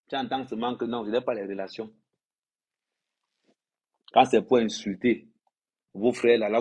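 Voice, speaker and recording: A man's voice: mid-range voice, a little mellow, slightly rough. A man from Cameroon, an influencer ranting on a livestream, scolding his audience and hammering home phrases by repeating them. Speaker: social media influencer. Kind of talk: livestream rant to followers.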